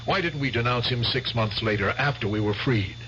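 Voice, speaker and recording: An actor playing a cautious, reasonable male war veteran in a 1970s radio drama, pleading in a quiet voice. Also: medium pitch, brisk, close to an everyday voice. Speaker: former law student, war veteran. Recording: dull old radio broadcast with hiss.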